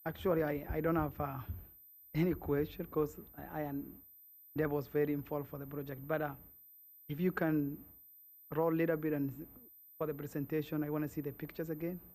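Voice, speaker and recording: A man at a city commission meeting speaking softly and haltingly. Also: on the high side, level tone. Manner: soft-spoken, halting.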